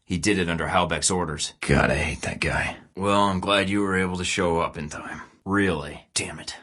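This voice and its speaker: A man's voice: low voice, moderate pace, sharp tone. An actor playing a tough, sarcastic male field agent in a video game, speaking in dry, clipped lines. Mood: defiant. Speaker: video game protagonist, a spy.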